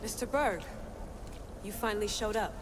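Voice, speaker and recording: A woman's voice: mid-range voice, normal speed, noisy background, close to an everyday voice. A woman playing a defiant, headstrong young female assassin with emotional intensity in a video game cutscene. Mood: accusing.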